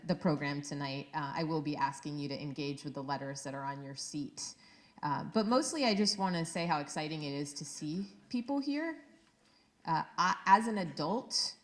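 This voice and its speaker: A middle-aged woman with a low, projecting teacher's voice talks eagerly to a room full of people, scattering fillers as she goes. She holds a handheld microphone low, and her lively, teacherly manner carries across the indoor event space. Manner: energetic, enthusiastic, teacherly with many fillers. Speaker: high school history teacher, guest speaker. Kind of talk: public talk to an audience. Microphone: handheld microphone held low.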